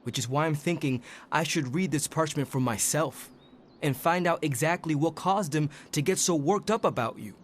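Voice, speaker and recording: An actor playing a stubborn, anxious young hero in a video game, with a defensive, sardonic way of talking. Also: male voice, fairly high pitch, moderate pace, slight background noise, natural voice. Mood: uneasy.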